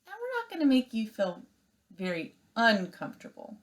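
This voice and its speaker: A woman reading aloud as a bossy young sister bear from a children's storybook. She is sarcastic and snappish, mocking others in a lively, swinging voice. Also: fairly high pitch, moderate pace, voice pushed up.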